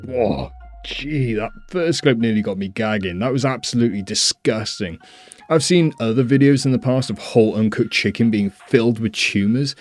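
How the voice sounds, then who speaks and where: A man's voice: mid-range voice, moderate pace, soft background music. A man hosting a reaction video, giving casual commentary on clips.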